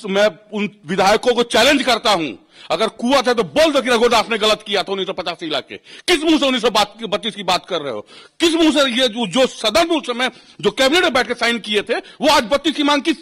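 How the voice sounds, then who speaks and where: A man's voice: high-pitched, fairly bright tone. A man with a Jharkhand Hindi accent giving heated political commentary, indignant and combative, hammering phrases by repeating them. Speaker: panel guest giving his opinion.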